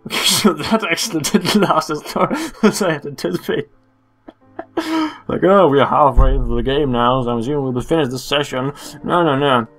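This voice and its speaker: A man's voice: on the high side, normal speed, a bit bright, soft background music. A young man giving casual, joking game commentary in a playful, self-mocking way. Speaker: let's play host.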